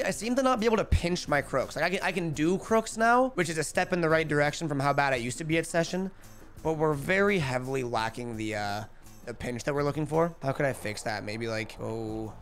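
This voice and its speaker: A young man in his twenties streaming a skateboarding game, chatty and energetic, calling out his tricks, a bit hoarse. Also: fairly high pitch, brisk, faint music behind. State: losing his voice. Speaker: gaming streamer. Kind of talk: live gameplay commentary. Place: home streaming room. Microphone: headset.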